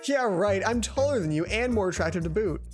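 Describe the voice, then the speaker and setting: A woman's voice: mid-range voice, lively intonation, brisk, faint music behind, lightly acted. A woman voicing vain, boastful teenage jock boys bickering in a visual novel, cocky and showy.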